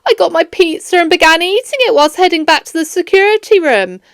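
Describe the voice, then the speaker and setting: A woman's voice: very high voice, a little fast, no echo, studio quality, voice pushed up. A woman voicing a flustered, defensive male security guard in a children's audiobook, pleading and protesting emphatically. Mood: guilty, sheepish. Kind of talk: children's audiobook character dialogue.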